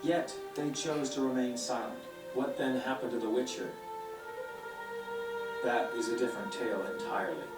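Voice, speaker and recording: A man's voice: mid-range voice, moderate pace, lightly acted. Male actor as wry game bard and storyteller: eloquent, theatrical, slightly distant, music playing behind. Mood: solemn storytelling. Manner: eloquent, dramatic, storyteller's phrasing. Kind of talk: video game dialogue and storytelling narration. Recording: roomy game playback, distant, music underneath.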